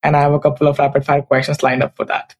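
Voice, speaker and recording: A man's voice: on the high side, monotone, fast talker, gravelly. A man hosting a podcast, asking prepared interview questions. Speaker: podcast host.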